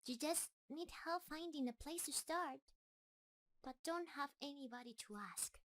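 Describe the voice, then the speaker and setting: A woman's voice: high voice, a bit bright. A woman playing a shy, lovestruck giant spider creature in a roleplay audio drama, speaking tenderly and hesitantly to the listener. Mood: gentle, wistful. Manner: nervous, hesitant, tender, halting.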